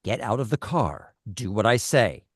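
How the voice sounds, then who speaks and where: Cold male kidnapper acted by a man: deep, unhurried, terse orders, close dry sound, drama table read. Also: big pitch swings, dry recording. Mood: cold, commanding. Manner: slow, terse, commanding. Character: cold, controlling male kidnapper calling his victim "Mother".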